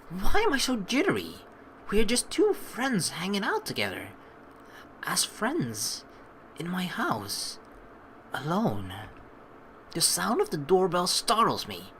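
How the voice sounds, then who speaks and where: A woman's voice: lowish voice, sharp tone, slight background noise. A woman let's player reading game text aloud expressively, mixed with casual commentary. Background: game music.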